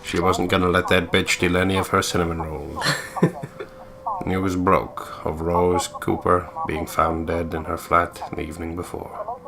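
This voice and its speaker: A man's voice: deep voice, little pitch movement, measured pace. A man co-hosting a let's play, reading game text aloud in a playful, theatrical way with sweary asides.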